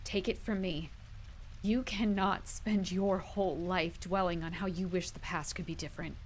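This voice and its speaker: A woman's voice: fairly low pitch, steady tone, normal speed, a little hiss or hum, lightly acted. A woman playing a brave, caring young superheroine, speaking earnestly and expressively in an audio drama. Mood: earnest pleading.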